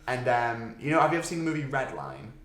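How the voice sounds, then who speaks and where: A man's voice: medium pitch, very animated, fast talker, a bit bright. A man talking casually as a podcast co-host, with a blunt, emphatic and sweary way of putting things. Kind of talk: casual chat about films and games. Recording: roomy, echoey sound.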